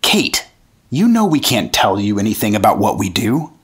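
Man playing a husky earnest FBI agent. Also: fairly low pitch, very animated, normal speed, full character voice. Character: earnest male 1950s FBI agent, dutiful husband. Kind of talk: 1950s radio drama acting.

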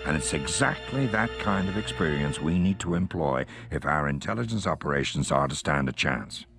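An actor playing a courteous middle-aged intelligence colonel, giving a formal, measured briefing in a game cutscene. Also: male voice, extremely low pitch, lively intonation, gravelly, music in the background, heavily acted. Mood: formal, frank. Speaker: intelligence officer briefing an agent.

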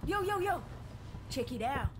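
A woman's voice: sits high, sing-song, a little slow, noisy background. A woman playing a brash, boastful young female mechanic in a video game cutscene, cocky and showy. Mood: playful.

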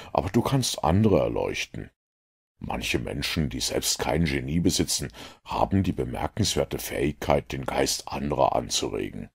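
A man voicing a calm, superior detective in an audiobook, measured and dryly condescending. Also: a bass voice, gravelly, slightly rough, pitched below the performer's own voice, exaggerated voice.

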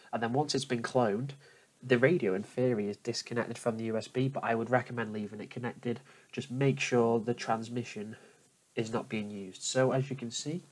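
A man talking viewers through a software tutorial step by step, in an informal, explanatory manner. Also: fairly low pitch. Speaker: tutorial host. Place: indoors at a computer, voice over screen capture.

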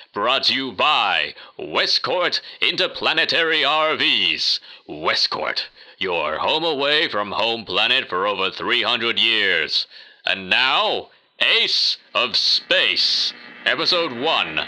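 A man's voice: mid-range voice, bright, forceful tone, heavily acted, poor audio. A man playing a slick old-time radio announcer, polished and theatrical, introducing shows and sponsors.

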